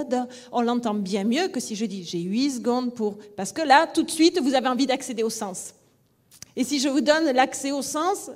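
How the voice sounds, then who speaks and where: A woman lecturing on phonetics at a conference, her voice sitting a little high. She explains step by step and sounds out rhythms in syllables to make her point. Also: a little mellow. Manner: demonstrative, sings rhythm syllables to illustrate; explanatory, restarts phrases. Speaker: phonetics trainer and school director. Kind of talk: conference talk.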